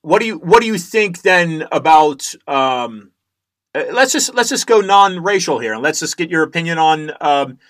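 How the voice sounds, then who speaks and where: A man hosting an interview, halting and stumbling through his questions. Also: a little high, expressive, fairly bright tone. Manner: halting, many restarts and fillers. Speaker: host.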